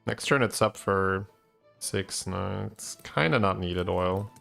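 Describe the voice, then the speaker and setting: A man, a Dutch speaker, giving casual gameplay commentary as a streamer, thinking aloud in a low-key, musing way. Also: takes it slowly, creaky, fairly bright tone, faint music behind. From Belgium.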